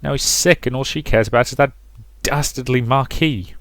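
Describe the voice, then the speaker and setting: A man's voice: mid-range voice, normal speed, a bit bright, no echo, voice pushed up, close to an everyday voice. A man voicing a brash, sweary, dramatic sixteen-year-old girl in a visual novel, complaining emphatically. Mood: exasperated.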